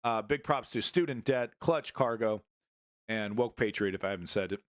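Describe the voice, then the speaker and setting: A man's voice: on the low side, normal speed, no echo, clean studio sound. A man hosting a live stream, greeting chat viewers and making mocking, sarcastic asides. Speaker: host. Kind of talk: casual live-stream commentary.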